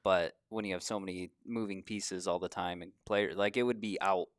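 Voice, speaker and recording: A man's voice: deep voice, steady tone, normal speed, no echo, studio quality. A man chatting casually about sports in an informal, somewhat hesitant way.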